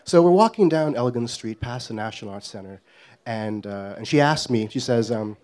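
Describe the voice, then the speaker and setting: Middle-aged man from Guyana, wry live storyteller, mid-pitched and self-mocking. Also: lively intonation, normal speed, a little mellow.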